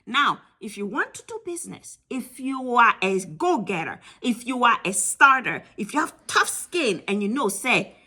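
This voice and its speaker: A woman's voice: fairly high pitch, very animated, normal speed, pushed and bright, husky. A woman with a Ghanaian accent talks casually to camera, giving blunt travel advice and repeating points for emphasis.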